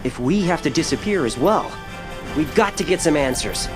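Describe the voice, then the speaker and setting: An actor playing a determined young hero in a video game, speaking with earnest, insistent urgency. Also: male voice, a little high, lively intonation, moderate pace, music in the background, lightly acted. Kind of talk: dramatic video game voice acting.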